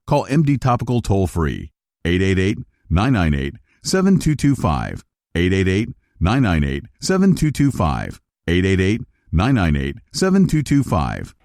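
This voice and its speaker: A male announcer reads a scripted commercial in a low voice. His pitch moves very widely, and the sound is dry and close. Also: a little mellow, no echo. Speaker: advertising announcer.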